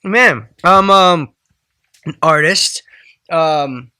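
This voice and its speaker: A man's voice: on the high side, very slow, pushed and bright, smooth, no echo, studio quality. A man in his twenties from the Bay Area, a musician guest telling his story in a laid-back, rambling way in a house. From Bay Area, California. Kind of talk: casual interview chat. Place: indoors at a house.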